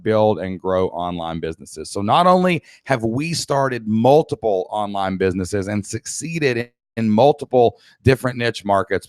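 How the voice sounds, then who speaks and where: A man's voice: fairly low pitch, no echo, clean studio sound. A man from Kentucky with a Southern accent, presenting a live online business training as a coach in a folksy, emphatic, motivational way. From Kentucky, United States.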